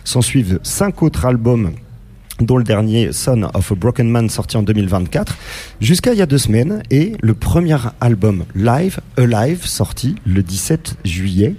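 A man's voice: medium pitch, big pitch swings, measured pace, dark tone, breathy edge. A man who interviews a guest, reading an introduction and asking questions with many hesitations.

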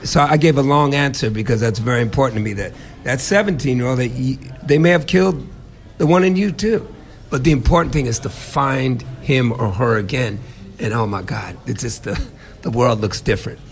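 A man's voice: medium pitch, normal speed. A middle-aged man from the United States, a musician answering interview questions in a reflective, emphatic way. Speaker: musician guest.

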